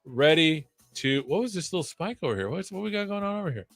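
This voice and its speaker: A man's voice: fairly high pitch, expressive, fast talker, gravelly, a bit bright, no room sound, faint music behind. A man hosting a casual livestream, rambling playfully with jokey asides. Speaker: live show host. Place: own room at home.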